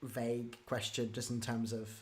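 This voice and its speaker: A woman's voice: a bass voice, flat delivery, moderate pace, darkish tone. A woman interviews in a loose, chatty way. She rambles and cuts into her own sentences, and faint room ambience and noise sit behind her voice. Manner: informal, rambling, self-interrupting. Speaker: interviewer, podcast creator. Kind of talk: casual interview chat. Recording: clear ambient background noise.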